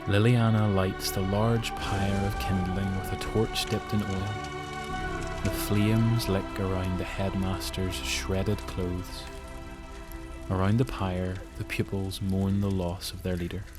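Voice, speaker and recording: A man's voice: low voice, flat delivery, takes it slowly, over loud music. A man with a Northern Irish accent reading scripted narration for an audio drama.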